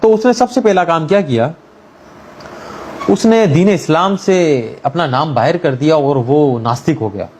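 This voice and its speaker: A man's voice: a little high, expressive, moderate pace, a little mellow, slight background noise. A man telling a religious moral story in an informal, conversational way, mixing in everyday English words. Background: background music.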